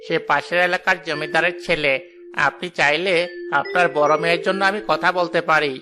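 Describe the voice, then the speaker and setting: A somewhat high male voice of an affable village matchmaker played by a man, selling matches in a cartoon tale. Clear music sits behind the speech. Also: fairly even intonation, brisk, close to an everyday voice. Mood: affable, persuasive. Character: affable village matchmaker praising prospective grooms. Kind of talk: cartoon story voice acting.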